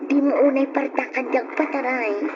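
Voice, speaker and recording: An actress with an Ilocano accent playing an anxious, fretful mistress in an old radio drama. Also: female voice, high-pitched, fairly even intonation, brisk, lightly acted. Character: anxious mistress Joanna, fretting on a motorbike. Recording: muffled old radio drama, faint noise.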